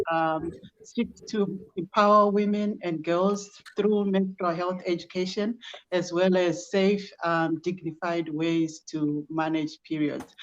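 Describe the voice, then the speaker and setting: A middle-aged woman with a Zimbabwean accent, a guest answering interview questions in a measured way with many ums. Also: fairly low pitch, dull audio. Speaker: guest, project founder.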